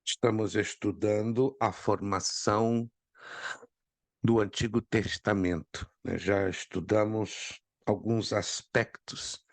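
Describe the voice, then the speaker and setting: A man, hoarse from a cold, teaching an online Bible class and calling on students by name. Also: fairly low pitch, steady tone, slow, no echo. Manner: repeats key points for emphasis.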